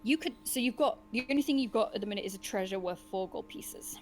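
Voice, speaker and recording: A woman hosting and narrating an interactive gamebook, mixing expressive storybook reading with casual asides. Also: mid-range voice, quick pace, slight background noise. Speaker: host and narrator of a gamebook session.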